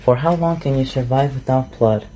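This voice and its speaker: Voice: medium pitch, moderate pace, dark tone, natural voice. A performer voicing a curious, awkward, chatty shapeshifter recluse who asks hesitant, rambling questions in a roleplay ASMR audio. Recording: clearly noisy home recording.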